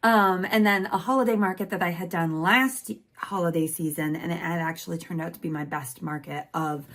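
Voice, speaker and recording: A woman's voice: fairly low pitch, steady tone, fairly quick, fairly bright tone. A woman chatting casually in a home craft vlog, talking while she crochets, her voice worn from losing it. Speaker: crochet maker and small business vlogger. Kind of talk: casual rambling vlog chat.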